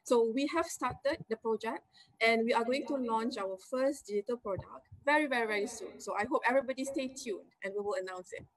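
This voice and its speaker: A woman with a Malaysian accent, Malay her first language, answering interview questions as a company executive in an energetic, emphatic way, listing points and adding tag questions. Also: a little high, fairly quick, a crowd in the background, rough recording. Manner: energetic, emphatic, lists points with rising tags.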